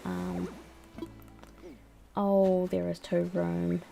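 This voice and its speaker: A woman's voice: lowish voice, monotone, extremely slow, creaky, warm tone, soft background music. A young woman, chatting casually on a live stream while she plays a game. She rambles, with lots of ums and likes, and sounds a bit sniffly from a cold. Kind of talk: casual chat while playing a video game.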